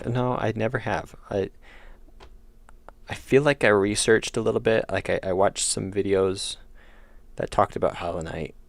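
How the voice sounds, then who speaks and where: Gravelly low-voiced streamer losing his voice. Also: no room sound. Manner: rambling, self-correcting, laid-back. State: losing his voice, slightly ill. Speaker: indie game developer streaming. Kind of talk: casual livestream chat answering chat.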